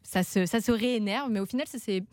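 A woman's voice: mid-range voice, brisk, a little mellow, no room sound. A woman from a radio show's team, chatting casually and hesitantly with many fillers. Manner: hesitant, lots of fillers, agreeing short replies. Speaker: production team member. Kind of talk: casual chat.

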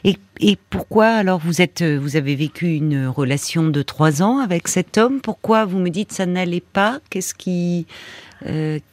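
A woman hosting a call-in advice radio show, gently probing and rephrasing what her caller says. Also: fairly low pitch, a little slow, no room sound. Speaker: radio host.

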